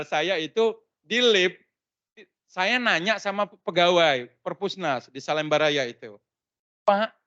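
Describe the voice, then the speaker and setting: A male preacher from Lampung, Indonesia, giving a religious talk with lively anecdotes and frequent laughter. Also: high voice. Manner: lively storytelling, laughs often. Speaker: Islamic preacher. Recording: dull lo-fi sound.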